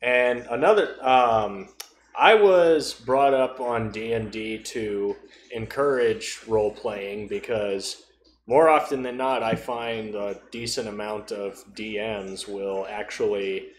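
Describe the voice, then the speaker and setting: A man's voice: lowish voice, slow pace. A man in casual conversation about tabletop role-playing, speaking as a gamer on a podcast. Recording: roomy echo, faint background noise.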